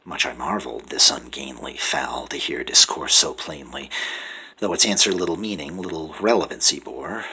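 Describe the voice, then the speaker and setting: A man giving a dramatic poetry recitation, often dropping to a whisper and rising to loud pleading passages. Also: a bass voice, normal speed, breathy edge, low-quality recording. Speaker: poetry reader.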